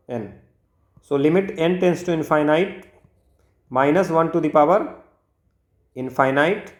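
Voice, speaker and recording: A man with an Indian accent giving an informal maths lesson, working step by step, asking himself questions and mixing two languages. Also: a little high. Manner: code-switches between two languages, asks himself questions, frequent check-words. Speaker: maths teacher for exam preparation. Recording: roomy, echoing sound.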